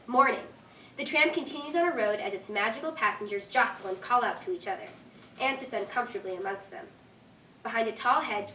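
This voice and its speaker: Young female narrator for script action lines, slightly high voice, picked up from a distance. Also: fairly bright tone. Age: young adult. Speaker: stage-directions reader. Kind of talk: scripted narration, read aloud. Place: echoey indoor room at a staged reading. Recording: echoey room, distant mic, faint noise.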